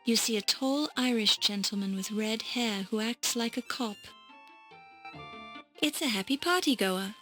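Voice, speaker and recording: A woman's voice: mid-range voice, a bit bright. A woman reading scripted game narration in a dry, wry descriptive manner.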